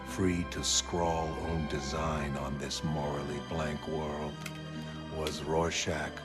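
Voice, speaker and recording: A man's voice: rumbling low, flat delivery, over loud music. A man playing a cold, nihilistic masked vigilante in a motion comic, speaking in terse, bleak monologue. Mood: bleak, menacing. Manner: slow, terse, clipped sentences.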